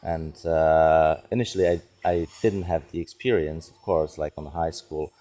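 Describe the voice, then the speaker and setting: A middle-aged man with a Czech accent, a non-native English speaker, answering interview questions conversationally and haltingly as he searches for words. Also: a bass voice, fairly even intonation, dark tone, soft background music. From Czech Republic. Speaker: psychiatrist and neuroscience researcher, interview guest.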